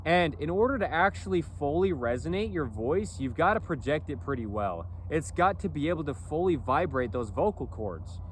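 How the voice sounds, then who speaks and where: A man's voice: on the high side, lively intonation, normal speed, few pauses. Rambling man talking outdoors. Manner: rambling, keeps tagging "okay?" and "you know". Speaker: clothing brand vlogger. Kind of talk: casual outdoor monologue. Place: outdoors in a city. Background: faint traffic, airplanes and sirens.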